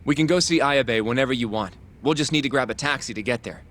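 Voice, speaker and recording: A man's voice: medium pitch, fast talker, a bit bright, close to an everyday voice. A man acting as a naive young rookie lawyer in a game cutscene. His mid-range voice is polite, eager and hesitant. A little ambient noise sits behind it. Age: young adult. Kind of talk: video game cutscene dialogue.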